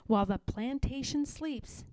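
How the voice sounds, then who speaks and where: Slow, mellow woman reading a storybook. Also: high-pitched, no echo, clean studio sound. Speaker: storybook reader. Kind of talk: expressive read-aloud narration of a children's picture book.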